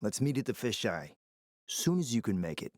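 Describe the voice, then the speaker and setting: A cunning male spymaster for a dramatic video game. His delivery is theatrical and highly animated, swinging between sly sarcasm and pressing urgency. The sound is dry, with no room around the voice. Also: normal speed, no echo. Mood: conspiratorial.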